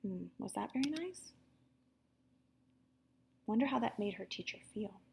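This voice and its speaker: A woman teacher reading a story aloud to young children in an expressive, animated manner, pausing to chat with her listeners. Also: mid-range voice, creaky, breathy edge.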